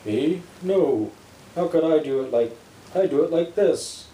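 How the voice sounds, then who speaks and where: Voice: medium pitch, expressive, a little slow, dark tone, close to an everyday voice. A parent voicing innocent storybook farm animals, surprised and matter-of-fact in their denials. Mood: surprised, protesting. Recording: roomy home recording with background noise.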